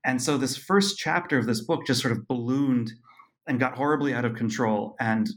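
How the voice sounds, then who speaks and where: A man of middle pitch talks through his story in a loose, rambling way. He is full of ums, restarts and small self-amused laughs as he answers questions about his book. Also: normal speed. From Costa Rica. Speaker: author guest. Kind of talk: casual interview talk.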